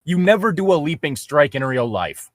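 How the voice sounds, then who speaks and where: A man's voice: on the high side, sing-song, normal speed, no room sound, studio quality. A young man mockingly reading fan fiction aloud and breaking off for sarcastic, sweary commentary. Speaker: reader and co-host.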